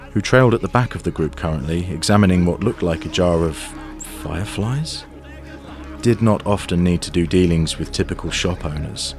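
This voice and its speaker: A man narrating a scripted fantasy audio drama as its storyteller. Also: low voice. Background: music.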